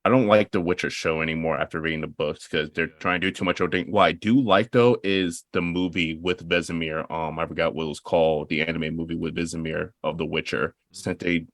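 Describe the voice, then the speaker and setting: A man's voice: deep voice, steady tone, a little fast, hardly any pauses, a bit bright, dry recording. A man on a podcast panel casually giving his opinions, piecing his thoughts together as he goes.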